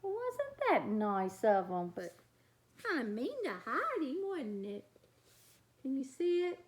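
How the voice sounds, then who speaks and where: A woman's voice: high voice, huge pitch swings, takes it slowly. A woman reading a storybook aloud for young children, expressively, with chatty asides to her listeners.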